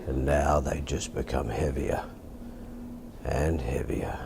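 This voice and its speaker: A low, deep Australian male voice with the calm of a working hypnotist. He speaks softly and deliberately, repeating himself as he instructs. Clear background noise sits behind him. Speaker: hypnotist teaching and demonstrating.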